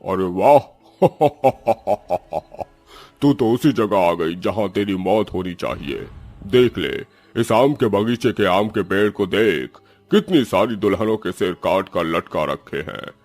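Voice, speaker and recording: A man voicing a menacing ghost in a cartoon horror story, taunting and gloating in a drawn-out way. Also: low voice, animated, darkish tone, full character voice. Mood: gloating menace. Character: menacing male ghost gloating over doomed brides.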